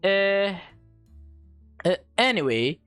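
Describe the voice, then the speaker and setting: A woman reading a visual novel aloud as a gaming host, expressive and playful, stumbling over lines and correcting herself. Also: medium pitch, extremely slow, clean tone, no room sound, faint music behind. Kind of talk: let's play read-aloud with off-script reactions.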